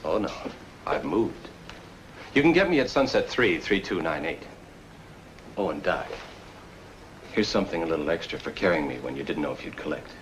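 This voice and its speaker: A tough drifter from a 1950s film noir. His voice is deep, his talk laconic and slangy, with faint background noise on the old soundtrack. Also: lively intonation, moderate pace, long pauses, rough recording. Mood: smooth, confident. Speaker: actor in a film.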